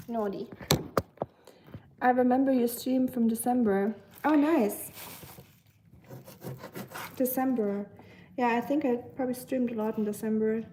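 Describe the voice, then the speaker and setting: A woman's voice: on the high side, takes it slowly, long pauses, mellow, slight background noise, poor audio. A young woman chatting casually on a live stream while cooking at home, reading comments with lots of fillers and giggly asides. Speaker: livestreamer.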